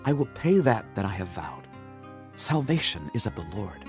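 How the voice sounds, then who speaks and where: A man playing a Hebrew prophet, solemn and fervent, in a measured dramatised scripture reading. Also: on the low side, big pitch swings, a little mellow, soft background music. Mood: anguished prayer.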